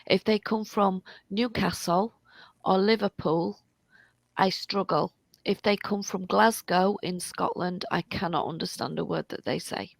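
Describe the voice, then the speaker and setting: A woman's voice: medium pitch, unhurried, gravelly, dry recording. A British woman tutoring English over a video call, encouraging and emphatic, often repeating words for stress. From United Kingdom. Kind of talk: online tutoring conversation.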